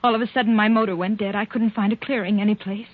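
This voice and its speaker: A woman's voice: medium pitch, fairly even intonation, fast, close to an everyday voice. Female actor for anxious wife under suspicion, faltering delivery, old-time radio melodrama, thin phone-like broadcast. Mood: shaken. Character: frightened, guilt-ridden wife under suspicion. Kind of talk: 1940s radio drama acting.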